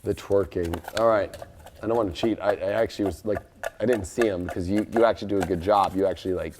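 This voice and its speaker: A man with a raspy voice hosting a casual live tarot reading, rambling and excitable, with lots of fillers and sudden loud outbursts. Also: low-pitched, fast talker, a little hiss or hum. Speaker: tarot reader and astrologer.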